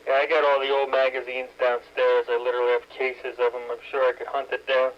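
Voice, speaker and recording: Flat-voiced man calling in over radio. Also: mid-range voice, fairly quick. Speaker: CB radio caller. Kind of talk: casual radio chat. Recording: muffled radio transmission with faint hiss.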